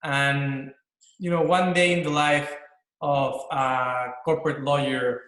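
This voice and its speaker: A man from Mexico, speaking English with a Mexican accent, walks students through his work as a corporate attorney. His voice sits at a middle pitch, and he talks in an earnest, explanatory way with many fillers and restarts. The room around him rings with noticeable echo. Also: little pitch movement, slow pace, fairly bright tone. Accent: Mexican Spanish. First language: Spanish. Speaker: corporate attorney, panel guest. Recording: echoey room.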